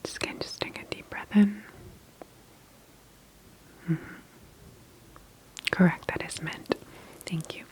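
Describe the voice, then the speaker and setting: A woman whispering softly and gently in an ASMR roleplay as a calm, attentive doctor examining a patient, unhurried and reassuring. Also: lowish voice, low-quality recording. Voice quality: soft, breathy whisper.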